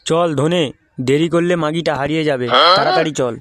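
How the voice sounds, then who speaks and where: A man's voice: fairly high pitch, a little fast, no room sound, lightly acted. A man voicing a crude, suspicious gang leader in a comedy cartoon, giving commands and swearing. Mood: bossy, suspicious. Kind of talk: adult comedy cartoon voice acting.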